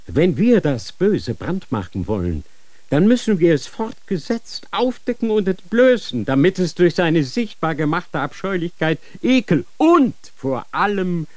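A fanatical inquisitor in a radio play, preaching to his son about power and fear. He speaks slowly, with a voice that rises and falls widely, solemn and insistent. The sound is close and dry, like a studio. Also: on the high side, a little mellow, no echo. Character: stern fanatical male church inquisitor, a father. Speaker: inquisitor father. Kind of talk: radio drama acting.

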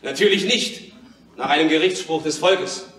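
Actor as a hard, high-voiced revolutionary. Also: male voice, normal speed, fairly bright tone, echoey room, far from the mic, natural voice. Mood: cold, dismissive. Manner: curt, dismissive. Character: hard, unsentimental revolutionary messenger. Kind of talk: German radio play acting.

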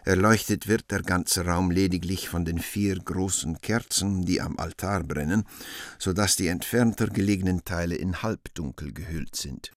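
A man's voice: deep voice, moderate pace, no echo, studio quality. A man reading scripted stage directions as narrator of a radio play.

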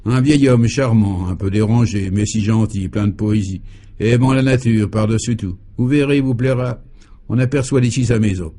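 A man's voice: fairly low pitch, moderate pace, dark tone, lightly acted. A man voicing a talkative, worldly male friend and guide in a comic radio serial, emphatic and mock-formal. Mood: enthusiastic.

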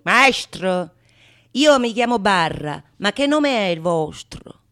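A woman acting a brash, needling male rogue in a radio comedy, loud, theatrical and sarcastic. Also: medium pitch, animated, takes it slowly, soft background music. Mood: mocking, exasperated. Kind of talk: stage monologue radio drama.

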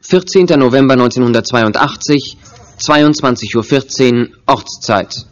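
A man's voice: medium pitch, measured pace, highs cut off. A man reading terse, matter-of-fact scene announcements in a German audio drama. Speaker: announcer of scene place and time.

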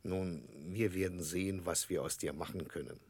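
A formal, mild schoolmaster in a vintage radio play. His very low voice is dry and close, measured and faintly regretful. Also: fairly even intonation, darkish tone, breathy edge, dry recording. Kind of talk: 1960s German radio drama acting.

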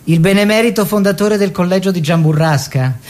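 An actor playing a teasing, cultured painter and husband in a radio drama, ironic and theatrical, stretching some words and hurrying others. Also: male voice, high voice, brisk, darkish tone, natural voice. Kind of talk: theatrical radio drama, storytelling and bantering dialogue.

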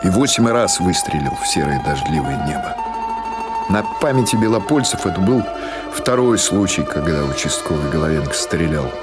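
A man's voice: sits low, big pitch swings, normal speed. A man reading literary prose as an audiobook narrator, in a scripted storytelling style. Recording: music behind the voice. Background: music.

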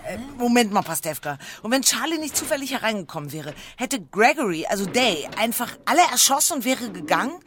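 Baffled female sceptic, comic radio play, lively pitch, incredulous, noisy background. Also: brisk, few pauses. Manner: incredulous, blunt questioning. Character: sceptical, baffled colleague picking apart the plot.

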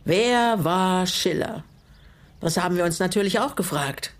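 An older woman in a naturalistic radio crime drama. She speaks in a measured, composed way. Bitterness shows in her pointed rhetorical questions. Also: fairly low pitch. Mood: pointed, grave. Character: composed, bitter older widow, former political prisoner. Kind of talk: naturalistic German radio play acting.

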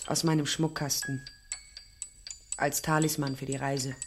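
Very deep-voiced, tender but firm mother. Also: level tone, measured pace. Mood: tender, earnest. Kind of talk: radio drama acting, fairy-tale storytelling. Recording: music behind the voice.

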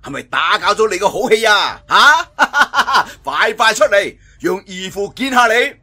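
A man's voice: high voice, lively intonation, measured pace, bright, forceful tone, voice pushed up, heavily acted. A man voicing a boisterous, haughty middle-aged martial arts master with a mocking, laughing manner and a Cantonese accent. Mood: jovial, teasing.

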